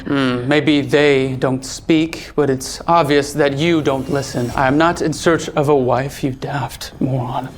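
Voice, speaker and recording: An actor playing an arrogant, irritable young duke with a curt, sardonic manner in an audio drama. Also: male voice, mid-range voice, fairly even intonation, a little slow, few pauses, a little hiss or hum, lightly acted. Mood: scornful.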